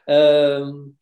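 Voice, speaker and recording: French-accented man, halting and roomy. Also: on the high side, warm tone. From France. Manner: halting, many restarts and fillers. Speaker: guest, researcher and author. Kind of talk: interview, explaining at length.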